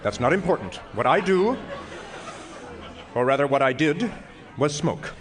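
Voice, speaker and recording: An actor playing a pompous, self-dramatizing retired philosophy professor in a stage comedy, speaking in wordy, self-mocking speeches. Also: male voice, on the high side, expressive, a little slow, crowd noise. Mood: grandiose, self-mocking. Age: older. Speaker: actor in an audio play.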